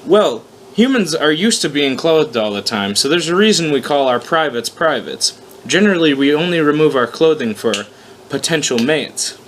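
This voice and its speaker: A man's voice: a little high, lively intonation, normal speed, natural voice. A man reading aloud as a wry, flustered human man who narrates his own predicament with sarcastic exasperation. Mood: wary, awkward. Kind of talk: first-person story read aloud. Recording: noticeable background noise, amateur recording.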